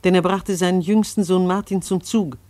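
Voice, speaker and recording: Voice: mid-range voice, fairly even intonation, normal speed, warm tone, no echo. A narrator reading scripted radio drama narration in a solemn, biblical cadence.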